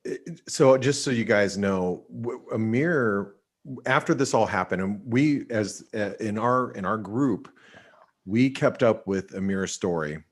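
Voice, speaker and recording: Low-voiced casual male host. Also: animated, a little slow. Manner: casual, many fillers like "you know". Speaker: webinar host. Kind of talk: casual webinar chat. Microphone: video call.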